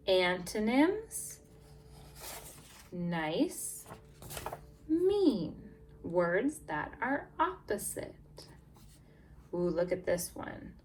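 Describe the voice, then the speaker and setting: A woman teacher doing a read-aloud lesson for young English learners, expressive and encouraging, stressing key words and prompting listeners with questions. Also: mid-range voice, slight background noise. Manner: expressive, exaggerated storytelling, emphasizing key words. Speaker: teacher reading a picture book to English language learners.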